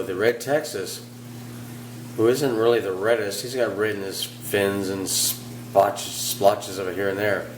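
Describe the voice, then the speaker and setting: Hoarse low-voiced man rambling about his fish. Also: echoey room, slight background noise, low-quality recording. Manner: relaxed, rambling, with fillers and restarts. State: hoarse from illness. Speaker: aquarium hobbyist channel host. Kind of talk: casual narration over tank footage. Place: at home by a large aquarium.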